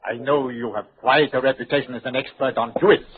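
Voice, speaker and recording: An actor playing a suave, courteous count with a formal, ceremonious manner in 1940s radio style. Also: male voice, medium pitch, steady tone, quick pace, a little hiss or hum, natural voice. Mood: courteous, curious. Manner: polished, ceremonious. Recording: tinny 1940s radio recording.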